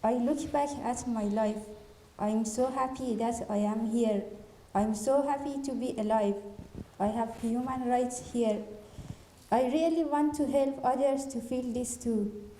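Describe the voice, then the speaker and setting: Young female presenter, very soft timbre, echoey room, rehearsed speech. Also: fairly high pitch, measured pace. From Afghanistan or Iran. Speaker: refugee student presenter. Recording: roomy echo with faint noise.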